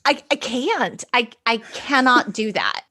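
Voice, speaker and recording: Animated, high-voiced woman telling personal stories. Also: slow, no room sound, clean studio sound. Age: middle-aged. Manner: dramatic, self-deprecating, mimics dialogue. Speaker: podcast co-host. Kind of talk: animated personal storytelling, casual chat.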